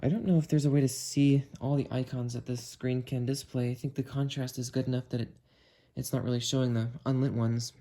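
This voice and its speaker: A man narrates a hands-on demonstration in a casual, unhurried way, thinking aloud as he tests things. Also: mid-range voice, fairly even intonation, warm tone. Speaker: video creator demonstrating a weather radio.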